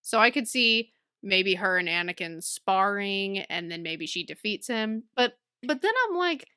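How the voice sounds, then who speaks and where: Bright-voiced woman in giggly podcast chat. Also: mid-range voice, lively intonation, unhurried, clear, resonant tone, dry recording, studio quality. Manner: giggly, often laughs through words. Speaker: podcast co-host. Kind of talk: casual book-club chat.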